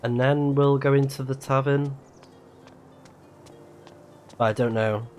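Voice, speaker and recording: A man's voice: mid-range voice, fairly even intonation, slow pace, a little mellow, soft background music. A man giving casual live commentary as he plays a video game, thinking aloud. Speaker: game streamer.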